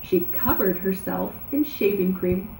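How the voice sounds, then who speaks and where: A woman narrating a children's story aloud in an animated, dramatic way, drawing out words for emphasis. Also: mid-range voice, unhurried, creaky. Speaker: storybook reader. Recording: roomy echo, background noise.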